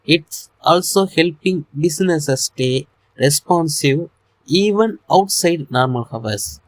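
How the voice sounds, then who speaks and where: A male product reviewer reads a scripted promotion at a slow pace. His pitch is average and the sound is very dry, with no room echo. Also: expressive.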